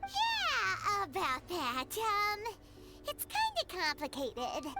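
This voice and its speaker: A tiny childlike game fairy, squeaks in an extremely high, swooping voice. The creature is earnest and whiny, drawling hesitantly in confusion over loud music. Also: female voice, huge pitch swings, very slow, heavily acted. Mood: confused, hesitant. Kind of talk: video game voice acting.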